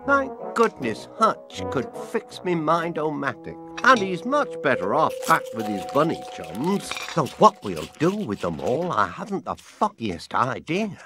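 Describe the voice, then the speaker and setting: A cheese-loving cartoon inventor, middle-aged and chirpy. His Northern English speech is unhurried and bouncy, with stretched homely vowels, over clear music. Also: on the high side, huge pitch swings. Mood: cheerful, musing. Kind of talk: animated comedy game cutscene acting. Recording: music behind the voice.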